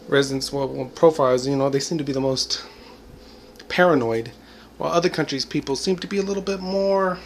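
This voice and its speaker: A man's voice: a little high, a little hiss or hum. A man in his forties talking to the camera in a rambling, reflective monologue, full of "you know" and "I mean" and bits of laughter. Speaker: vlogger. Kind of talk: casual spoken monologue.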